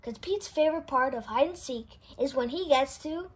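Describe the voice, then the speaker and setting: A woman reading a children's picture book aloud in an expressive, sing-song way. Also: high-pitched, moderate pace. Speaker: storybook reader. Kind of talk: children's read-aloud narration.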